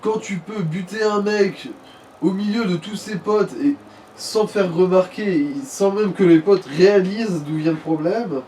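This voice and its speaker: A man's voice: sits high, a little slow, dark tone. A man chatting casually as co-commentator on a game, joking in slang. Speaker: let's play co-commentator. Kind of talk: casual gameplay chat. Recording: distant mic with light noise.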